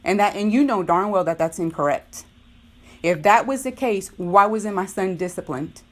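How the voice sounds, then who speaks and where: A middle-aged woman speaks as a parent lodging a complaint in a phone dispute. She is firm and insistent, often circling back to repeat her point, in a voice of ordinary pitch. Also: normal speed. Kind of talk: tense phone conversation, disputing.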